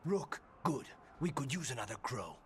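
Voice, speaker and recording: An actor playing a grave, courteous assassin in a fantasy video game, speaking solemnly and formally. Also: male voice, mid-range voice, big pitch swings, moderate pace, a little hiss or hum, natural voice. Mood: focused. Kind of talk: video game dialogue acting.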